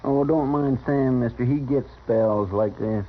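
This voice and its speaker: An actor playing a good-natured, deferential frontier sidekick with an American accent and a polite, homespun manner in a radio western. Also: male voice, mid-range voice, a little slow, natural voice. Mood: friendly, folksy. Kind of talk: 1950s radio western acting. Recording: noisy, muffled vintage radio recording.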